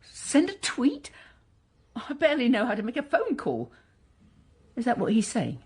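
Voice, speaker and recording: A woman's voice: fairly high pitch, wildly swooping pitch, a little mellow, breathy edge, full character voice. An actress playing a bitter, defiant woman wronged years ago, in a naturalistic radio drama. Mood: indignant. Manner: impassioned, halting when hurt.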